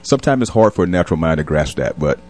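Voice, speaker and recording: A man's voice: extremely low pitch, very animated, very fast, a little mellow. A middle-aged man chatting as an interview guest, earnest and agreeable. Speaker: interview guest, police captain. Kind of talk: casual interview chat.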